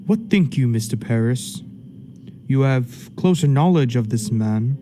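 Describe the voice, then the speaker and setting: A man's voice: medium pitch, animated, unhurried, warm tone, slight background noise, natural voice. A man playing a stern, imperious judge in a radio play, speaking in formal, archaic period language with command. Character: stern, unbending male judge, imperious and impatient. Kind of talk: period stage drama acting in a radio play.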